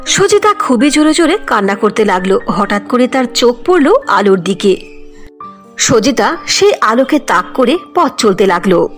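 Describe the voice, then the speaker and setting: Animated, high-voiced woman storyteller. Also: moderate pace, soft background music. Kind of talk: scripted cartoon fairy tale narration.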